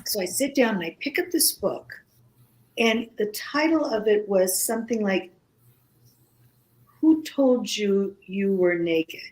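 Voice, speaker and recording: A woman whose first language is Greek, speaking as a guest in a casual radio conversation, slow and halting with many pauses and restarts. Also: medium pitch, expressive, a little mellow, low-quality recording.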